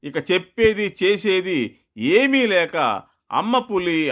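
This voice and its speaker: A man telling a scripted folktale as narrator, with expressive, dramatic delivery. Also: high-pitched. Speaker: storyteller.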